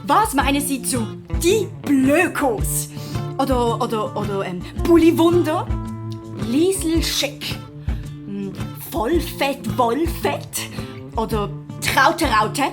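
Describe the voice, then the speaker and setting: A woman playing a bright, impulsive girl detective with youthful slang in a German children's audio drama. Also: high voice, lively intonation, slow pace, music in the background. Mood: eager, playful.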